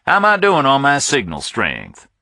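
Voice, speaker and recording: A man's voice: mid-range voice, wildly swooping pitch, normal speed, vocal fry, bright, forceful tone, dry recording, pitched above the performer's own voice, heavily acted. A man voicing a confident, jocular southern man talking over a speakerphone, with a Southern US accent. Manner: chatty, jocular.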